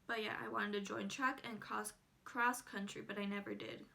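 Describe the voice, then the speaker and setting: A woman's voice: medium pitch, fairly even intonation, normal speed, creaky, pushed and bright. An eighteen-year-old woman, a native Spanish speaker, chatting casually to viewers as a vlogger. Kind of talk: casual personal chat to viewers.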